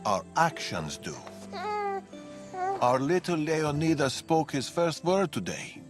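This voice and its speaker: Unhurried, expressive warrior grandfather. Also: fairly high pitch, faint music behind. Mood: playful, doting. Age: older. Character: reflective, doting warrior grandfather. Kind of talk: video game cutscene acting.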